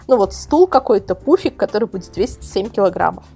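A woman's voice: mid-range voice, lively intonation, fairly quick, creaky, dark tone, husky, soft background music. A woman speaking as a guest reviewer in a casual podcast discussion, emphatic and repeating words for stress. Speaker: guest, product reviewer and website editor.

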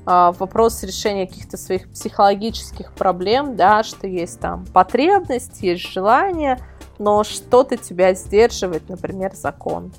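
A woman's voice: mid-range voice, expressive, measured pace, faint music behind. A woman speaking as an expert guest on a casual podcast, explaining things in a chatty way. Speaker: guest, magazine-style portal editor. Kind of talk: casual podcast interview answers.

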